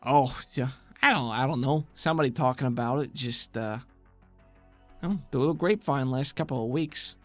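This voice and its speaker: A man voicing a gruff, stocky older insectoid woman who runs a dump and talks in a casual, evasive, clipped way over music. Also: medium pitch, normal speed, close to an everyday voice. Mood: evasive, nonchalant. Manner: casual, clipped, shrugging, chewing on a toothpick.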